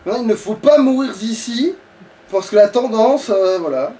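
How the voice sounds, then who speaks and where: Male let's player, high-pitched voice, grumbling casual commentary, distant mic. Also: expressive, moderate pace, warm tone. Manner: sweary, grumbling. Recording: distant mic, faint background noise.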